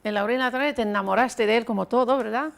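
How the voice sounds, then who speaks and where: A woman hosts a conversational television interview. Her voice sits a little high and has plenty of gravelly creak. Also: quick pace.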